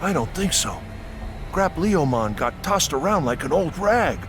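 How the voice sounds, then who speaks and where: An actor playing a grim monster witness in a video game, gravely reporting bad news. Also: male voice, on the high side, sing-song, measured pace, music in the background. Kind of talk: video game cutscene acting.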